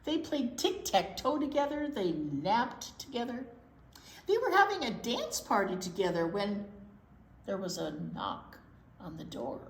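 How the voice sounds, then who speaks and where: Animated, unhurried woman reading a storybook aloud. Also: mid-range voice. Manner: playful, exclaiming, commenting on the pictures. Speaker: children's librarian, storytime reader. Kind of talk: animated picture-book read-aloud with chatty asides. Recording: roomy echo with some background noise.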